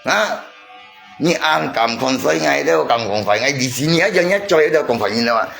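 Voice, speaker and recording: A man's voice: medium pitch, animated, normal speed, creaky, a bit bright, soft background music. A man chatting casually on a livestream, repeating himself and arguing his point to viewers. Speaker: live streamer.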